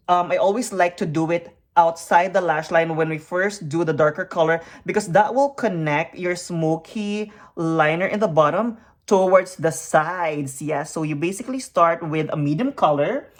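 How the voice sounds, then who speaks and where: A woman from the Philippines giving a makeup tutorial, upbeat and hyper, tossing in peppy "you know what?" and "yes!" asides between encouraging thoughts. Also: on the low side. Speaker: makeup vlogger. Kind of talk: makeup tutorial with motivational talk.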